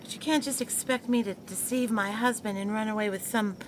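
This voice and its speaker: A woman's voice: mid-range voice, steady tone, a little fast, background noise, natural voice. An actress playing a proper, married 1920s woman who defends herself and scolds her former lover. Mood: exasperated. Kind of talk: 1920s period audio drama.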